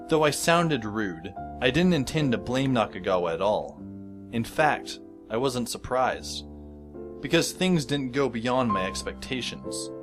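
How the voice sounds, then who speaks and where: An actor playing a dry, sardonic teenage schoolboy who narrates an audio drama in the first person. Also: male voice, medium pitch, natural voice. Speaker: narrator and lead character. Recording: music under the voice.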